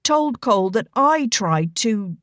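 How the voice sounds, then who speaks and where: A woman's voice: fairly high pitch, expressive, takes it slowly, fairly bright tone, no room sound, clean studio sound. A woman voicing a big, charming Irish man who teases in a thick Irish brogue. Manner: playful thick brogue, lilting.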